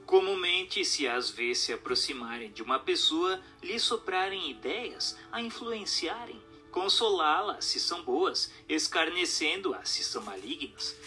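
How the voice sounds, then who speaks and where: A man's voice: on the high side, bright, forceful tone. A Brazilian man reading a book aloud as scripted narration, in a formal, didactic manner. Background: background music.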